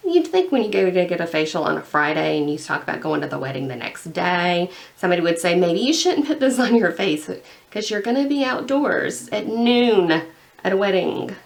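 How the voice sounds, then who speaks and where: Gravelly-voiced chatty woman vlogger. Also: lowish voice, lively intonation, moderate pace. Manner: chatty, rambling, frequent "like". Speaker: beauty vlogger. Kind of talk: casual chat while painting nails.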